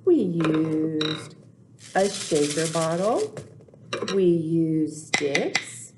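An older woman in her sixties hosting a storytime for young children, speaking in a deliberate, expressive, simple sing-song teaching way. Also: lowish voice. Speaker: children's storytime host at a library. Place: small indoor room, in front of backdrop. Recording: noticeable background noise, clicks of props.

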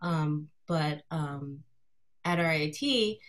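A woman in her thirties from Colorado, giving an informal artist talk to a class over a video call, soft-spoken and hesitant, with many fillers. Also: low-pitched, slow pace. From Colorado, USA. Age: 30s. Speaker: guest artist speaking to a class. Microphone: video call computer mic.

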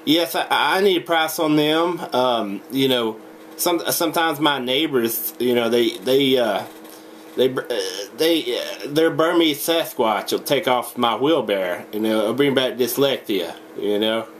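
A young man making a deadpan prank phone call, halting, stammering and rambling as he talks in a home room. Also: medium pitch. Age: young adult. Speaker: prank caller. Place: small indoor home room. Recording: noisy webcam recording.

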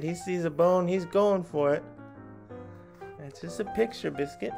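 A man in his thirties hosting a picture-book read-along for young children, animated and chatty, often putting questions to his listeners. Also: a little high, gravelly. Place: small indoor room at home.

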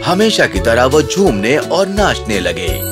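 A man's voice: medium pitch, sing-song. A man narrating a scripted children's fairy tale as storyteller. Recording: music under the narration. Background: music.

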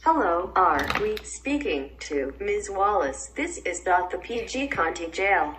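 A woman's voice: on the low side, big pitch swings, a little slow. A woman giving a formal, scripted announcement for a phone call. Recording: distant, noisy home recording of call.

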